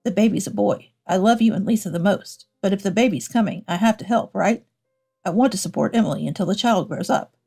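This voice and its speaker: A sheepish husband, played by a woman narrator, makes evasive excuses in a story drama. The voice is soft and mellow, with faint music behind it. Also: mid-range voice, brisk, vocal fry, slightly rough. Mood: self-justifying. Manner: evasive, self-justifying.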